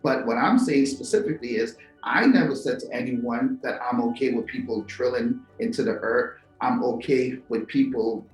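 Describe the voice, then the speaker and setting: A man's voice: medium pitch, normal speed, hardly any pauses, roomy sound, soft background music, rough recording. A man from Trinidad and Tobago hosts a talk show, musing to viewers with rhetorical questions and repeated words. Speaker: podcast host. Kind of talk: philosophical monologue to viewers.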